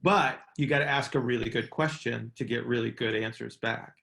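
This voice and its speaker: A man's voice: medium pitch, fairly even intonation, normal speed, gravelly, fairly bright tone. A middle-aged man hosting a casual coaching call, explaining emphatically, repeating key words and checking in with "right?". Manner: explanatory, emphatic word repetition, frequent "right?" check-ins.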